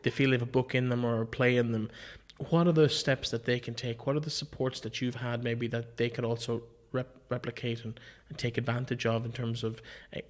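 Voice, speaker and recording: A man hosting a conversational interview, putting informal questions to his guest. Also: medium pitch. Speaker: interviewer, podcast host.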